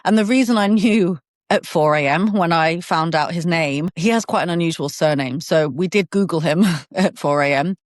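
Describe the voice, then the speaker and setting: A woman in her thirties from England with a southern English accent, talking in an animated, open way as an interview guest, often laughing and restarting. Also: fairly low pitch, normal speed, few pauses, no room sound, studio quality. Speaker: podcast guest. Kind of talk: conversational interview.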